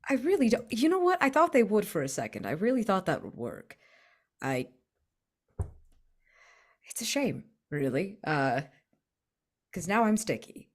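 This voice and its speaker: A woman's voice: medium pitch, sing-song, a little slow, pauses often, husky. A woman playing a tabletop roleplaying game, improvising in character with a dry, exasperated manner, trailing off.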